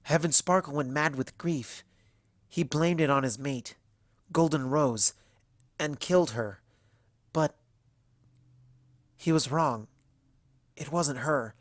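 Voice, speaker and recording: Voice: medium pitch, takes it slowly, pauses often, no room sound, studio quality, voice pushed up, natural voice. A narrator voicing a young female unicorn librarian, earnest and nervous as she explains things in a story reading. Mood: nervous explaining.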